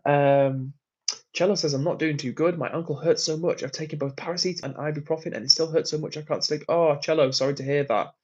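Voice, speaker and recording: A man's voice: on the high side, steady tone, quick pace, a little mellow, dull audio. A man hosting a casual livestream from a hotel room, reading out viewer comments in a friendly, rambling way. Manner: chatty, rambling, friendly to chat. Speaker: livestream host.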